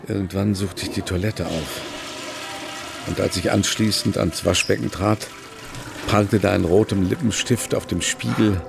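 A subdued, haunted male writer in a radio drama. His low voice is halting and reflective, with a creaky edge, and music plays clearly underneath. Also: steady tone. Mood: uneasy reminiscence. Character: subdued, haunted older male writer recalling a secret love. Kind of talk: radio drama acting, dialogue and recollection.

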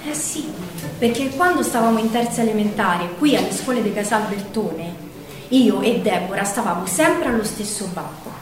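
In a very reverberant space, some way from the mic, an actress plays a feisty Roman woman partisan looking back on her girlhood. Her voice is fairly high and her Roman accent is thick with everyday speech. She tells it as an emphatic theatrical monologue, over a faint layer of noise. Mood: nostalgic. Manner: colloquial, emphatic, dramatic storyteller. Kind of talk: theatrical first-person storytelling monologue. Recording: very echoey hall, distant mic, faint noise.

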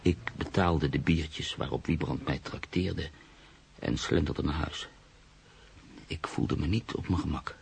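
A man acting in a radio drama as a brooding, sceptical storyteller, husky and gravelly, with a measured, literary, pensive delivery. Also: extremely low pitch, steady tone, slight background noise, full character voice. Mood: reflective, uneasy. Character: brooding, sceptical man narrating his own uneasy story. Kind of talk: radio drama narration and dialogue.

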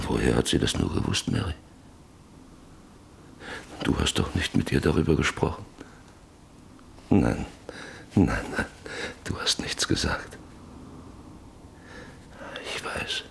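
An actor playing a guilt-ridden middle-aged husband, brooding and confessing in a German radio play monologue. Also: male voice, a bass voice, creaky, slight background noise, heavily acted. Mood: bitter brooding.

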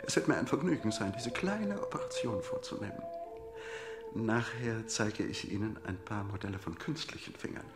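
An actor playing a pushy, cheerfully callous surgeon in a German radio play, theatrical and wheedling. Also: male voice, fairly low pitch, lively intonation, normal speed, music in the background, close to an everyday voice. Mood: smug salesmanship. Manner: theatrical, wheedling, brushing doubts aside.

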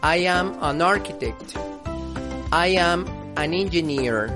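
A woman teaching English on a school radio program, speaking slowly and carefully as she repeats example phrases. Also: on the low side, expressive, over music. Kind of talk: slow scripted radio lesson.

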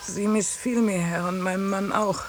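An actress playing an anxious, loyal farmer's wife, halting and dignified, in a 1950s German radio drama. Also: female voice, mid-range voice, fairly even intonation, lightly acted. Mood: anxious, hesitant. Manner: hesitant, halting. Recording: faintly hissy 1950s radio recording.

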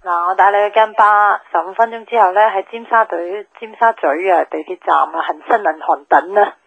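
A woman's voice: medium pitch, a little fast, creaky, no echo. An actress playing a chatty, blunt young woman with a Hong Kong accent, grumbling and teasing casually in a radio drama. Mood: playful, teasing. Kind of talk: naturalistic radio drama dialogue. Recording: tinny, dry telephone line.

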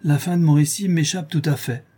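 A man's voice: medium pitch, a little fast, warm tone, breathy edge, pitched above the performer's own voice, natural voice. A man voicing a sly, servile sailor in a storybook, speaking in a falsely meek, evasive manner. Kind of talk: acted audiobook character dialogue.

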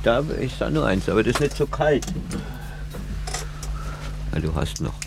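A man's voice: mid-range voice, very animated, measured pace, vocal fry, background noise. A man, a band musician, chatting casually in a grumbling, joking way. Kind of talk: casual banter.

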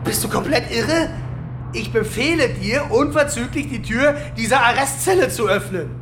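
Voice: mid-range voice, lively intonation, moderate pace, roomy sound, over music, natural voice. An actor playing a defiant, desperate security officer locked in a cell in a science-fiction audio drama, urgent and commanding. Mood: furious, desperate.

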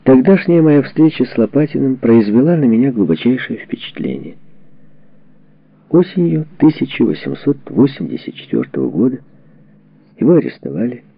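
A man reading a memoir as a scripted audiobook narration, with measured, dramatic phrasing and expressive delivery. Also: medium pitch, vocal fry, slightly rough, dry recording. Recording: dull, muffled lo-fi audio.

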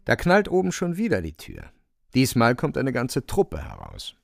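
A man's voice: mid-range voice, wildly swooping pitch, moderate pace, creaky, no echo, studio quality. A man narrating a literary radio play with vivid, suspenseful storytelling.

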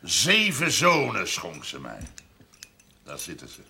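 Gravelly, domineering old farmer patriarch. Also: male voice, sits low, huge pitch swings, sharp tone, heavily acted. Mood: proud. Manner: blunt, commanding, deliberate storytelling. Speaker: actor in a television drama. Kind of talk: 1970s Dutch television drama acting. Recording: noisy old television soundtrack.

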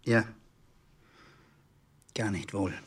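An actor playing a sullen, weary husband and secret agent in a German radio play, terse and grumbling. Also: male voice, fairly low pitch, drawn-out words, recorded from a distance, background noise.

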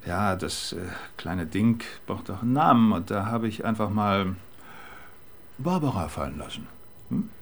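A man's voice: fairly low pitch, animated, measured pace, slight background noise. A man playing a genial, slightly sheepish pathologist with a wry manner in a crime radio play. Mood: matter-of-fact, sheepish. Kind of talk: German crime radio drama acting.

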